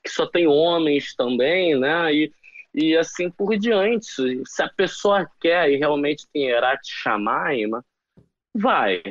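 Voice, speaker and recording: A man's voice: fairly high pitch, expressive, slow pace, no echo, highs cut off. A Brazilian man talking casually as an interview guest, hesitant, with frequent restarts as he thinks aloud. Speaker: guest, former soldier.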